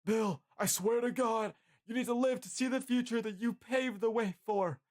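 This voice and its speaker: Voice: medium pitch, sing-song, brisk, fairly bright tone, pitched above the performer's own voice. A narrator voicing an earnest young male battle comrade in a fantasy adventure, calling out emphatically with a raised voice. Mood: desperate, fierce.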